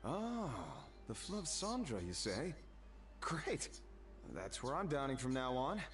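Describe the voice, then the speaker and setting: A man's voice: mid-range voice, very animated, slow pace, music in the background. A man voicing an easygoing, chatty townsman in a video game, breezy and enthusiastic. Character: easygoing, chatty male diner who shares gossip.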